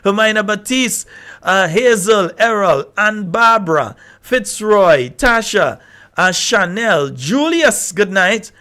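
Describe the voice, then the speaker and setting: Hoarse Grenadian radio host, higher-pitched man. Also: lively intonation, slow, pushed and bright. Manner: informal, calling out names. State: hoarse, voice giving out. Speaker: radio call-in show host. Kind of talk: live radio chat, greeting listeners. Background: music playing behind the voice.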